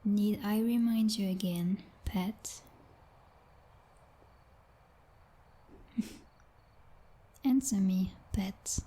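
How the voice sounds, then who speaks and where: A very breathy, whispering female vampire knight, played by a woman in an ASMR roleplay. She is possessive and coldly domineering, speaking slowly with formal, teasing condescension. Her tone is mellow and dark, with a quiet menace. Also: mid-range voice, fairly even intonation. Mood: jealous, condescending.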